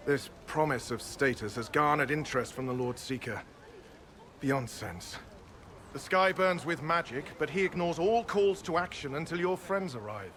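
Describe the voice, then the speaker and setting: An actor playing an earnest, duty-bound Templar knight, speaking formally and gravely in a video game cutscene. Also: male voice, fairly high pitch, lively intonation, normal speed, a bit bright, slight background noise. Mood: grave, frustrated.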